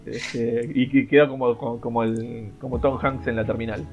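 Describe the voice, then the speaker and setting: A man co-hosting a casual podcast chat, joking around and often pausing on filler words. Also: medium pitch, level tone, darkish tone, soft background music. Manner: joking, frequent "este" fillers. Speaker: podcast co-host.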